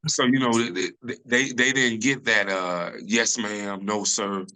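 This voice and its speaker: Deep-voiced comedian man, forceful. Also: measured pace, low-quality recording. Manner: relaxed storyteller, many restarts and "you know". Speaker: comedian guest. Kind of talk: casual interview chat. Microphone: video call.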